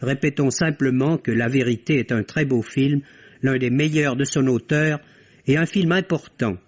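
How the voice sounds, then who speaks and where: A man giving a scripted film review on the radio in measured, literary phrasing. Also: mid-range voice. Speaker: film critic. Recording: dull vintage French radio recording.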